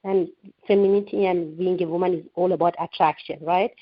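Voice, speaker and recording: A woman's voice: on the low side, steady tone, fairly quick, no echo. A woman in her forties from Iran, a guest author answering radio interview questions earnestly, with frequent restarts. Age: 40s. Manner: earnest, many restarts and fillers. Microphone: phone line. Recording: dull lo-fi phone-line sound.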